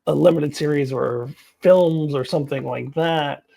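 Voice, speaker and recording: A woman giving casual, opinionated commentary to viewers as a video host, in a blunt, informal and halting way. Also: sits low, gravelly, warm tone.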